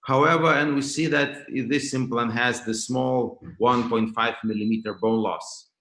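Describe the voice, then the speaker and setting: A man from Lithuania with a Lithuanian accent, lecturing as a professor at an online conference in a halting way, repeating words and restarting phrases. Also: medium pitch, steady tone, a bit bright. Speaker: dental professor and clinician giving a lecture. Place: indoors, remote video call. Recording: roomy, echoing video call sound.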